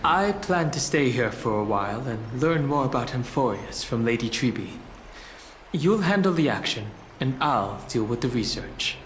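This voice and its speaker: Man as a lively, no-nonsense young companion. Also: medium pitch, moderate pace, slight background noise, close to an everyday voice. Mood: matter-of-fact. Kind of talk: English video game voice acting. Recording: echoey, music underneath.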